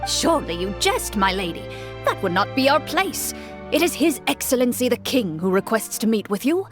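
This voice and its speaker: A woman's voice: fairly high pitch, big pitch swings, moderate pace, pitched above the performer's own voice. A woman playing a stiff, courteous palace guard. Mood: formal. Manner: courteous, formal address. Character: polite male palace guard, formal and stiff. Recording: with music behind.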